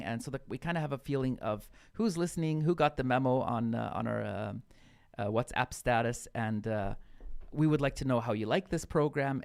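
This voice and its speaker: A man in casual conversation as a radio show co-host, his voice sitting at an ordinary middle pitch. He talks loosely and chattily, with plenty of fillers and restarts. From Brazil. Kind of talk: casual chat.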